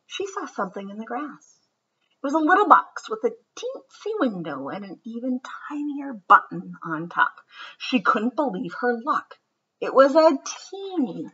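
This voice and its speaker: A woman giving a gentle, reflective introduction, then reading a children's book aloud. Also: sits high, husky. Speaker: read-aloud presenter. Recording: slightly muffled recording.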